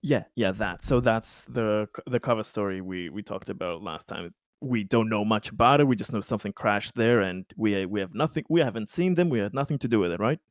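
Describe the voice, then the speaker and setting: A man's voice: lowish voice, a little fast, few pauses, no room sound, studio quality. A man playing a tabletop roleplaying game, chatting casually with the other players about the game. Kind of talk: casual out-of-character game chat.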